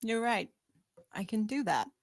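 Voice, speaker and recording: A woman's voice: on the high side, animated, measured pace, dry recording, studio quality. A woman playing a troubled, guilt-ridden young woman who is earnest and determined, in an informal screenplay table read.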